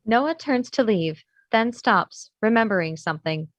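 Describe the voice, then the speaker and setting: A woman's voice: mid-range voice, expressive, unhurried, no echo. A woman narrator reading screenplay stage directions in scripted narration.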